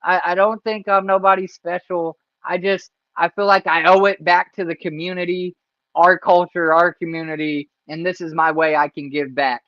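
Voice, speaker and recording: A man's voice: high-pitched, flat delivery, moderate pace, no room sound. Laid-back man hosting a livestream. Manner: rambling, laid-back, lots of fillers. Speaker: stream host. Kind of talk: casual livestream interview. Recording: dry, dull lo-fi stream audio.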